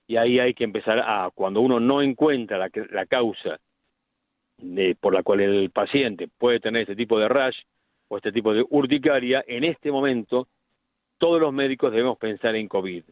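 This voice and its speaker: Argentine doctor on a tinny phone line. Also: male voice, medium pitch, dry recording. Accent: Argentine Spanish. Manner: deliberate, explanatory, frequent restarts. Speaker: medical specialist guest. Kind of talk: explanatory radio interview by phone.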